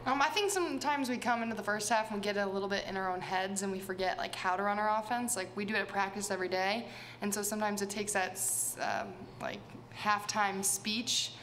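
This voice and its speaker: A woman's voice: mid-range voice, a bit bright, a little hiss or hum. A young woman college player answering questions at a post-game press conference, casual, with lots of "like" and "um". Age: young adult. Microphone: table microphone.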